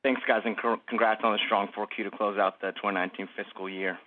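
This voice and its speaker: Tinny phone audio, quick lowish-voiced guy analyst, polite and businesslike. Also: little pitch movement, a little hiss or hum. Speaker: financial analyst asking questions. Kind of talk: earnings call questioning. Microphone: telephone. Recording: narrow tinny phone line.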